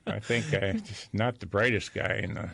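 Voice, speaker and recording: A man's voice: lowish voice, steady tone, normal speed, creaky, a bit bright, no room sound, studio quality. An older man speaking as an interview guest, telling anecdotes in a folksy, self-deprecating way. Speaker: interview guest, real estate broker.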